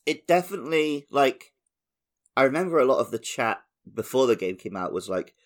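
A man's voice: medium pitch, big pitch swings, fairly quick. A man co-hosting a podcast, chatting informally and telling everyday anecdotes. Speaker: podcast host. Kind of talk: casual chat, anecdotes.

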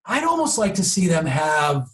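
A man's voice: a little high, big pitch swings, moderate pace, a bit bright. A man speaking conversationally as an expert guest in an interview, explaining his points and checking in with rhetorical questions.

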